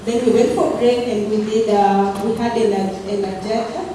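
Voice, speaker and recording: A woman leading a workshop session in a loose, relaxed way, with many fillers and small laughs, recorded from a distant mic in a large meeting room. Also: mid-range voice, moderate pace. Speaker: workshop facilitator. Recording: echoey hall recorded from far off with background noise.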